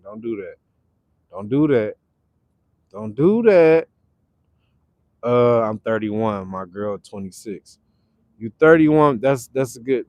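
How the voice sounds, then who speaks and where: A relaxed man talks casually to his livestream viewers, reading out their comments and repeating his phrases. His voice sits in a plain middle range. The sound is dry, with no room echo. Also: medium pitch. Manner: laid-back, repeats phrases, reads chat aloud. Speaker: livestream host. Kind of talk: casual live chat with viewers.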